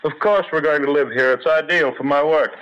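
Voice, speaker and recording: Man acting stubborn scientist, raised pitch, curt and bossy, vintage radio play, dull hissy recording. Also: fairly quick, fairly bright tone, lightly acted. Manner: curt, domineering. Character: obstinate, imperious male scientist obsessed with his work. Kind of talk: old-time radio drama acting. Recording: muffled, noisy old radio recording.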